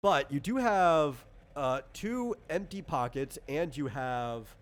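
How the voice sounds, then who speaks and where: A man's voice: a little high, animated, slow pace. A man acting as game master, casually explaining tabletop rules in a hesitant, thinking-aloud way.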